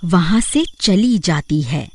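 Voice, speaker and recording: A woman's voice: lowish voice, lively intonation, slow, warm tone, a little hiss or hum. A woman reading scripted narration for a cartoon horror story.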